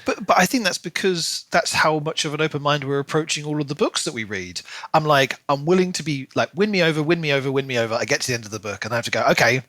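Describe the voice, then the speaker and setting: A man's voice: a little high, fast talker, hardly any pauses, bright, forceful tone, no room sound. A man co-hosting a podcast, chatting casually and giving his opinions about books, sometimes acting out imagined quotes. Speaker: podcast co-host. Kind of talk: casual book discussion chat.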